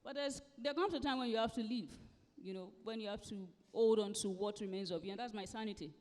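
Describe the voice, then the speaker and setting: Woman, Nigerian accent, guest author, emphatic, conversational live interview, medium pitch, long hall reverb. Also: fast, a little mellow. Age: late thirties to forties. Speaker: guest author at a book festival. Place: live festival event hall.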